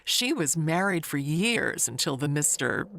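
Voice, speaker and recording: An actress plays a gossipy older woman chatting about the neighbors in an audio mystery tale. Her pitch rises and dips expressively as she takes her time, halting and confiding with sly wistfulness. Faint noise sits behind the voice. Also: sing-song, vocal fry, a bit bright, exaggerated voice.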